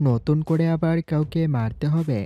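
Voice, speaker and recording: A man playing a young, coolly ruthless gangster boss in an audio story, speaking calmly and matter-of-factly. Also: a little high, normal speed, no room sound, muffled recording, lightly acted. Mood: coolly menacing. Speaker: voice actor in an amateur audio story. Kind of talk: acted audio story dialogue. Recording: background music throughout.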